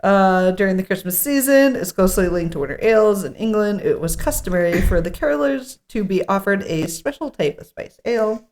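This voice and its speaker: A woman's voice: medium pitch, lively intonation, brisk, few pauses, darkish tone. Woman podcast co-host reading with jokey asides. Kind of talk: read-aloud script mixed with casual banter at a table read.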